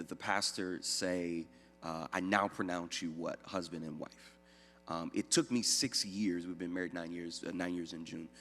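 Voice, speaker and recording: Give me a deep-voiced young adult pastor from upstate New York sharing his story with self-deprecating humor. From Syracuse, New York. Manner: easygoing, many "uh" fillers, self-deprecating humor. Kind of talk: church talk, personal testimony. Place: church room. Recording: long hall reverb.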